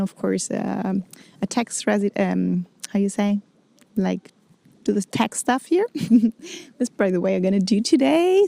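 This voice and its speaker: A woman's voice: mid-range voice, creaky, mellow, dry recording. A woman in her forties who grew up in Greece and Germany, talking casually as a vlog host, informally searching for words in non-native English. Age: 40s. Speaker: freediving instructor and show host. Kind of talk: casual personal vlog talk.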